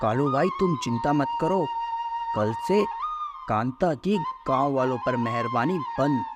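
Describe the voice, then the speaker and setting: A man's voice: medium pitch, animated, a little slow, lightly acted. A man voicing a sly, greedy village milkman in a Hindi cartoon story, dramatic and conspiratorial. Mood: smug scheming. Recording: loud background music with flute.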